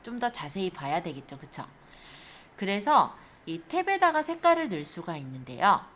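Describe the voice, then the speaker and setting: A woman instructor giving an informal step-by-step software tutorial, explaining things and often checking that learners follow. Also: medium pitch, sing-song, normal speed. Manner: explanatory, often checks understanding with tag questions. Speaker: computer skills instructor.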